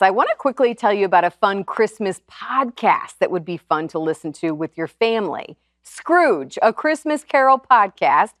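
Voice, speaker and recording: A woman's voice: medium pitch, a bit bright, no echo, clean studio sound. A woman presenting to viewers as a TV show host, in a scripted style.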